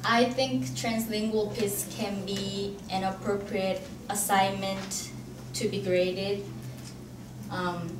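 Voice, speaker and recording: A young woman from South Korea, a native Korean speaker with a Korean accent, giving a careful, halting academic presentation as a graduate student. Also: medium pitch, level tone. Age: young adult. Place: indoor room at an academic forum. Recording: echoey, noisy room, distant mic.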